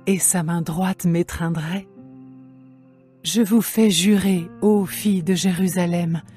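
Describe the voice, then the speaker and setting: A woman playing a tender, devoted young woman in love, in a slow, measured dramatised scripture reading. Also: medium pitch, warm tone, lightly acted. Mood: longing.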